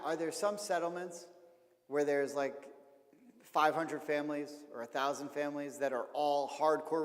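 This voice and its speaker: Chatty rabbi man in a reverberant hall. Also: a little high. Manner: chatty, frank, repeats words while thinking. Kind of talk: casual lecture with audience questions.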